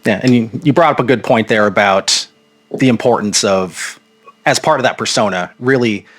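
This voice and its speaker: A man's voice: fairly low pitch, normal speed, a bit bright. A man hosting an unscripted, casual interview, phrasing his questions thoughtfully and encouragingly. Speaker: podcast host and interviewer.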